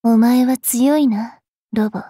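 Haughty high-voiced vampire fairy girl. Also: fairly even intonation, takes it slowly, warm tone, dry recording, studio quality. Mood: somber. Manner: brash, teasing, rough-spoken. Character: haughty, mischievous young female vampire fairy priestess. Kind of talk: anime game character voice lines.

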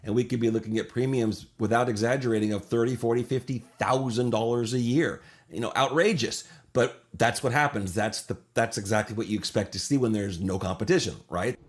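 A man's voice: medium pitch, fairly even intonation, a little fast, few pauses. A man speaking as an insurance broker over a video call from a home office, explaining frankly and with emphasis. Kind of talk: interview answers.

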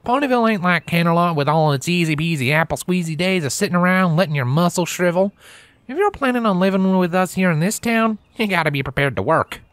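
A man's voice: high voice, expressive, fast talker, fairly bright tone, clear, resonant tone, no echo, voice pushed up. A man voicing a cheerful, folksy country pony mare with a Southern country drawl. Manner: folksy and drawling, chuckling at her own jokes.

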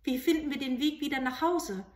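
A timid little girl from a fairy tale, acted out by a woman. Her voice is raised high, anxious and questioning. It sounds in an echoing room. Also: brisk, recorded from a distance, natural voice. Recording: roomy home recording.

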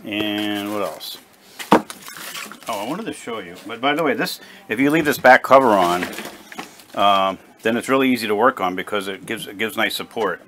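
A man giving a casual, unscripted hands-on repair demonstration in a plain, halting, explanatory way at a workshop bench. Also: lowish voice, noisy background. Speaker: presenter of a radio repair video. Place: small indoor workshop at a workbench.

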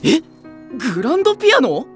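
An actor playing a sharp-tongued young male nursery teacher with a snarky, teasing way of talking. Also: very high voice, huge pitch swings, fairly quick, faint music behind, full character voice. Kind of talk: anime voice drama acting.

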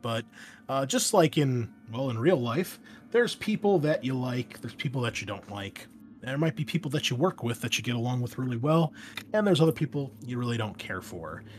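A man's voice: mid-range voice, a little hiss or hum. A man hosting a casual, unscripted tabletop game explainer, talking in a conversational way and wandering into asides as he goes. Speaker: host and game master introducing a tabletop game.

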